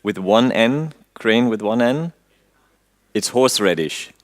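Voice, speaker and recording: A man from Austria with an Austrian accent, a native German speaker giving a technical conference talk in English. He quizzes the audience, drops in dry jokes and fills pauses with frequent "um"s. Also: mid-range voice, expressive. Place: conference stage before an audience.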